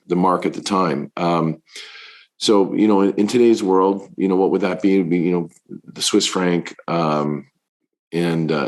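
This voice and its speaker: A middle-aged man, a fund manager, answering interview questions in a halting way with many fillers, his voice deepened by illness. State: recovering from covid, voice deepened.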